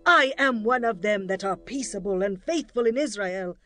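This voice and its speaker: A woman's voice: mid-range voice, animated, normal speed, a bit bright, faint music behind, lightly acted. A woman playing a wise, dignified townswoman who pleads solemnly in a dramatized scripture reading, in formal speech. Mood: solemn pleading.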